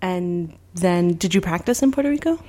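A woman's voice: lowish voice, lively intonation, moderate pace, mellow. A woman hosting a podcast interview, asking questions in a friendly conversational way. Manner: conversational, affirming, light laughs. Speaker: podcast host.

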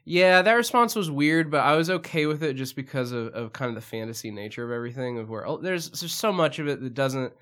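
A man co-hosting a casual movie review podcast, hoarse and losing his voice, talking in a rambling, hesitant way and laughing often. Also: medium pitch, lively intonation, a little fast, hardly any pauses, fairly bright tone. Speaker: podcast co-host.

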